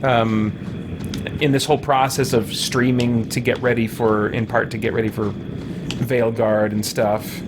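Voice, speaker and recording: A man streaming a game, chatting casually and thinking aloud in a halting, musing way. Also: medium pitch, level tone, background noise. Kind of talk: casual live gaming stream chat.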